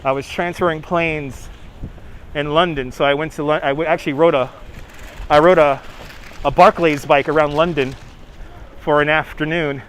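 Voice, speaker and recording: A man's voice: fairly high pitch, steady tone, normal speed, long pauses, slight background noise. A man with a New York accent chatting casually on a live stream, talking back to viewers by name and laughing often. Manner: chatty, reads chat names aloud, laughs often. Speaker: livestream host. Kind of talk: casual live-stream chat while walking. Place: outdoors on city streets. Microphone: phone on gimbal.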